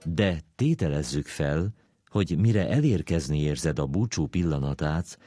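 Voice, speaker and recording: A man reading a literary essay aloud in a deliberate, dryly ironic manner. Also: rumbling low, expressive, loud music behind the voice.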